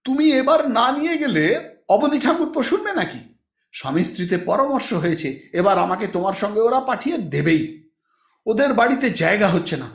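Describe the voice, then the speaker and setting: An audiobook portrait of a worn-down, reproachful middle-aged wife, acted by a man. The voice is high and plaintive, tearful and pleading, with wide swings of pitch in an echoey room. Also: very animated, moderate pace, gravelly, exaggerated voice. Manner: pleading, nagging complaint. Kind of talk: audiobook character acting.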